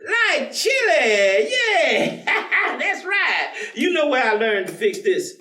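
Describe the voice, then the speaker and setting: Devout, stubborn, teasing folksy man, played by a male stage actor; high voice with big swings, heard across a roomy theatre. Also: big pitch swings, pushed and bright, full character voice. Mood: jovial, teasing. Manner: folksy, colloquial, drawn-out delivery. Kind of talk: staged reading of a play. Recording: roomy theatre, distant microphone.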